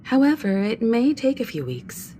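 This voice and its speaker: A composed, mellow-voiced crew leader. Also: female voice, on the high side, moderate pace. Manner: calm, composed, gently authoritative. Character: poised, mature woman leader of a crew. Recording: game cutscene with faint music.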